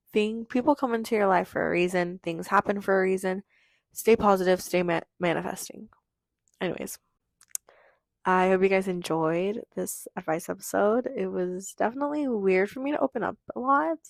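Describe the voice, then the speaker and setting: Hoarse, gravelly teenage girl podcaster. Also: mid-range voice, no echo, studio quality. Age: teenager in high school. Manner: rambling, chatty, heavy on "like". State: hoarse, losing her voice. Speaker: podcast host. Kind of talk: casual confessional monologue.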